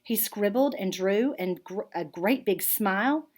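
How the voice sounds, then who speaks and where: Slow, lively woman reading a picture book. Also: mid-range voice. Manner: expressive, deliberate storytelling for young children. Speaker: art teacher reading aloud. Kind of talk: animated children's picture book read-aloud.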